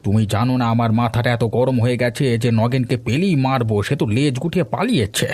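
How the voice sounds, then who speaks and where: A man's voice: medium pitch, quick pace, a little mellow, husky, lightly acted. A man voicing a righteous, hot-headed older village man who scolds indignantly in a cartoon. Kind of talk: cartoon dialogue acting.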